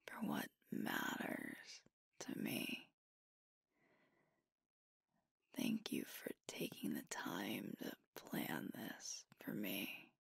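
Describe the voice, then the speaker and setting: Breathy, whispering werewolf girlfriend played by a woman. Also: rumbling low, dry recording, clean studio sound, full character voice. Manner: slow, tender, playful, with pauses. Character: affectionate, teasing werewolf girlfriend, adult woman. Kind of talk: ASMR roleplay, intimate scripted monologue. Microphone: close microphone.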